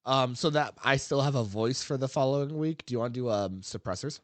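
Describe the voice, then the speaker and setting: A man's voice: mid-range voice, fairly quick, dry recording. A man co-hosting a casual podcast about a game, rambling with frequent ums and uhs and laughing easily. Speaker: podcast co-host.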